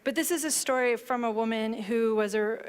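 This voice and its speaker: A woman in her thirties, a book author on a panel, explaining her work in a measured way and reading aloud from it on stage. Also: on the high side, smooth. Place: large hall stage before an audience. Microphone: headset mic. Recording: long hall reverb.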